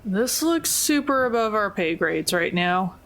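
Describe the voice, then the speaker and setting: A woman's voice: medium pitch, lively intonation, measured pace, a bit bright. A woman chatting casually as a player in a tabletop roleplaying game.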